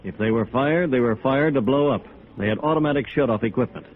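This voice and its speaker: A man's voice: medium pitch, brisk, phone-quality sound, natural voice. Man acting bitter architect, old-radio sound. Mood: bitter, indignant. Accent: American. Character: bitter, resigned male architect. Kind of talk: 1950s American radio noir acting. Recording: band-limited vintage radio recording.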